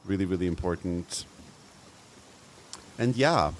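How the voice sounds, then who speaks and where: A young man talks over his gameplay in a campy, playful, slangy way and laughs easily. His voice sits fairly low for a man. A faint hum of room noise hangs behind him. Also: expressive, slow pace. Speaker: streamer. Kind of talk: casual live-stream chat over gameplay.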